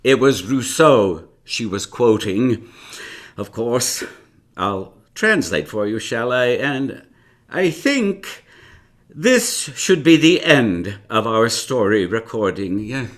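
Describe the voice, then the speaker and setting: Older storyteller in a radio play, unhurried, wistful, rambles into chatty asides. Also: male voice, mid-range voice, very animated. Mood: wry, fond. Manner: hesitant, chatty, reflective asides. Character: older male narrator reminiscing about his past adventures, genial, wistful. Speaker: narrator. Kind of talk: live radio drama storytelling.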